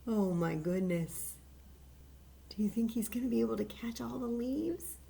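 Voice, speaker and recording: A woman reading a children's story aloud as a library story time host, expressive and chatting to young listeners with coaxing questions. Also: a little high, normal speed, creaky.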